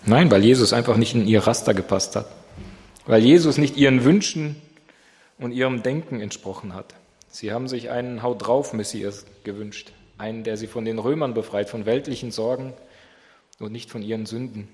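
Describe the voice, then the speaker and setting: A man's voice: mid-range voice, normal speed, darkish tone. A man with a slight cold who opens in prayer and then leads a Bible study, asking his group questions. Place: church hall. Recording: long hall reverb.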